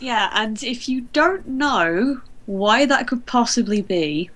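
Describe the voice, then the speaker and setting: A woman's voice: fairly high pitch, animated, slow, fairly bright tone. A woman co-hosting a casual chat, playfully chipping in with remarks. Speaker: podcast co-host.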